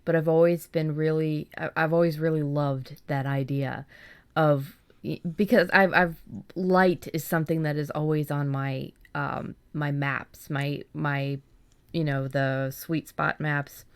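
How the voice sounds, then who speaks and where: A woman's voice: low voice, steady tone, a little slow, smooth, no echo. A woman co-hosting a podcast in casual chat, effusive and rambling, with informal, mildly sweary phrasing. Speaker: podcast co-host.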